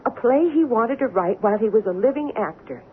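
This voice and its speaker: An actress playing a haunted, intense woman convinced of contact with spirits, with deliberate dramatic phrasing in old-time radio style. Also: fairly high pitch, lively intonation, close to an everyday voice. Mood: intense revelation. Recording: noisy, narrow-band old radio recording.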